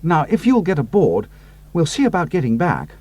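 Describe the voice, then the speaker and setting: A man's voice: on the high side, huge pitch swings, normal speed, darkish tone, voice pushed up. A man voicing a loyal, cautious pilot sidekick who talks earnestly. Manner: earnest and a little doubtful.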